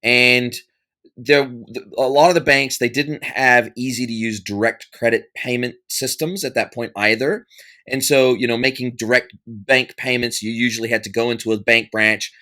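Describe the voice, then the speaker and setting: A man in his forties with an American accent and a bright, forceful voice talks at an easy middle pitch. He tells his story loosely, with restarts and fillers, as a guest in an interview. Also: fairly even intonation, normal speed. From United States. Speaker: podcast guest, e-commerce consultant. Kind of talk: conversational interview answer.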